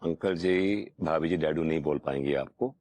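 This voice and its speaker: A man's voice: very deep voice, moderate pace, darkish tone, slight background noise, pitched below the performer's own voice. A man voicing a cheeky young neighbour who makes sly, teasing remarks.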